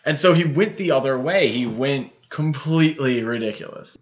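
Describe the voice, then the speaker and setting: Man, podcast co-host, medium pitch, casual film talk, rambling explanations, somewhat dull lo-fi audio. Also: expressive, normal speed.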